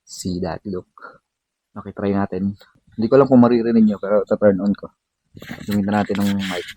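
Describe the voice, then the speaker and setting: Male Filipino vlogger, relaxed dark tone, informal unboxing narration sprinkled with English slang. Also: fairly low pitch, brisk, slight background noise, rough recording. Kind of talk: casual unboxing and installation demo.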